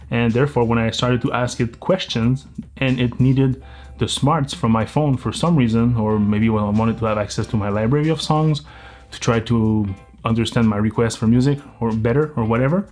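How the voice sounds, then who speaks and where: A man's voice: medium pitch, a little mellow. French Canadian man reviewing gadgets casually. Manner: explanatory, thinking aloud with small restarts. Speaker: tech reviewer.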